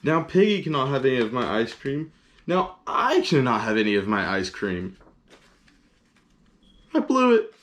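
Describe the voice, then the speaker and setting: A man voicing an excitable, childlike elephant from a picture book, exclaiming and agonizing out loud. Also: mid-range voice, a little slow, pauses often. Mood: dismayed. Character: excitable, childlike elephant torn over sharing his ice cream.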